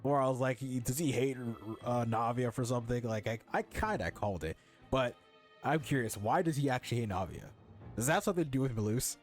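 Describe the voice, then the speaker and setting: A young man reacts casually to a video game. He talks in slangy, halting exclamations with a widely swinging pitch. Faint music plays underneath. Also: expressive. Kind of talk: casual gaming commentary.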